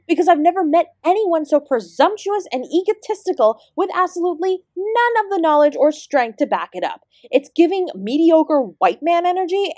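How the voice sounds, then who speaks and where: Woman voicing ancient dancer goddess-servant, high female voice, dry close sound, sarcastic, exasperated, emphatic, audio roleplay. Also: a little mellow, no echo. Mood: scornful. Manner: sardonic, sweary, emphatic. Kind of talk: scripted audio roleplay addressed to the listener.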